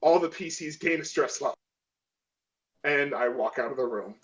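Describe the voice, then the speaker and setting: A man's voice: medium pitch, moderate pace, a bit bright. A man playing a tabletop role-playing game, casually improvising what his character does in a rambling, profane way.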